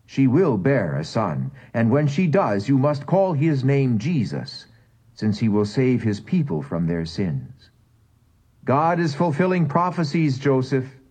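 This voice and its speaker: A man's voice: mid-range voice, big pitch swings, a little slow, darkish tone, slightly rough. A man voicing a solemn dream angel. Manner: solemn, prophetic.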